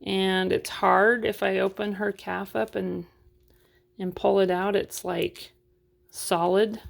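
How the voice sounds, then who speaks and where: A woman's voice: mid-range voice, little pitch movement, takes it slowly, vocal fry. A woman chatting casually through a doll unboxing review, frank and full of fillers, while losing her voice.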